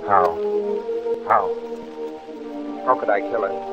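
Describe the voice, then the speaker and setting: Loud music swells over a muffled old radio recording. a tormented, murderous husband in a low voice, very slow and melodramatic, as if thinking aloud. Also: male voice. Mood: desperate, brooding. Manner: slow, brooding, melodramatic inner monologue. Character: tormented husband brooding on murdering his wife. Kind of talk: 1940s radio drama acting.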